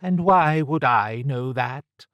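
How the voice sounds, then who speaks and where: A man voicing a worldly, lazily amused woman thief who speaks in formal, measured phrases. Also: mid-range voice, animated, dry recording, dull audio, voice pushed up. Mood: cryptic.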